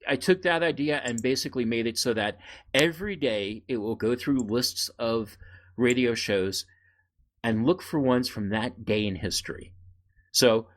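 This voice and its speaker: A man's voice: mid-range voice, moderate pace. A man chatting casually as a podcast co-host, in a rambling, self-deprecating way.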